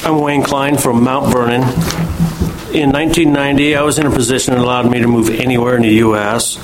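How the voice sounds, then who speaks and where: A man's voice: medium pitch, fairly even intonation, brisk, noisy background. A man making a composed, prepared public comment at a commission meeting, with a touch of dry humor.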